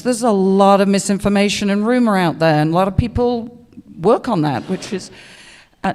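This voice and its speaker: Loud-voiced woman presenting in an echoing hall. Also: mid-range voice. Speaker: insurance representative giving a presentation. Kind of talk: presentation to a club audience. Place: indoor meeting room. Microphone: microphone. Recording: long reverberant room sound.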